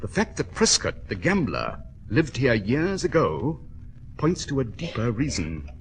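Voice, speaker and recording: An actor playing a shrewd, secretive English detective in an old-time radio drama, clipped and authoritative. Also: male voice, mid-range voice, wildly swooping pitch, measured pace, a little hiss or hum. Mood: tense, explaining.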